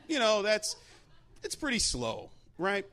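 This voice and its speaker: A man's voice: high-pitched, wildly swooping pitch, slow, bright, forceful tone. An American man telling a humorous story as a guest on a live panel.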